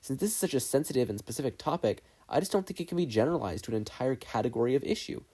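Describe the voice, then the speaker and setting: A determined, argumentative teenage boy acted by a man in an audio story. He is earnest and insistent, with a lively, slightly raised pitch. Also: racing, breathy edge.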